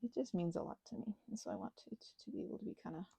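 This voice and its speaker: A woman's voice: mid-range voice, very fast, creaky, warm tone, slightly rough, no echo. A woman crafting and rambling casually in ASMR style, whimsically talking to herself and the things in her hands, sometimes dropping to a near-whisper. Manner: whimsical, talks to herself and objects. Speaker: crafting vlogger.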